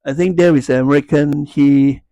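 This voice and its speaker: A man's voice: on the high side, fairly even intonation, normal speed, gravelly, warm tone, no echo. A man in his fifties from Hong Kong, speaking Hong Kong English and raised speaking Cantonese, talks to camera in a rambling way, with many fillers and restarts. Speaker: YouTuber. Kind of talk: casual monologue to camera.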